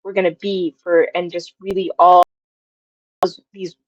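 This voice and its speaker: A woman's voice: lowish voice, little pitch movement, unhurried, no echo, lo-fi sound. A young woman chatting casually as an interview guest, effusive and given to telling things through quoted asides. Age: young adult.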